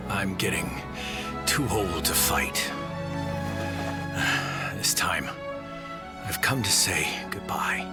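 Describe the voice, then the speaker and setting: Game role: frail old samurai, low breathy voice, very slow and resigned, loud music underneath. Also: male voice. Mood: weary, resigned. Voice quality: breathy, sighing. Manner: halting, resigned. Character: weary, frail old samurai of sixty-five. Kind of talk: video game voice acting.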